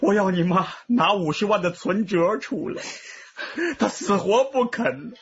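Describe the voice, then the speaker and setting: An anguished, high-voiced guilty father. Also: animated, measured pace, breathy edge, highs cut off, full character voice. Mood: bitter confession. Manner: anguished, pleading. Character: guilt-ridden gambler father confessing to killing his wife. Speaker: actor in a radio drama. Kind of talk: emotional radio drama acting.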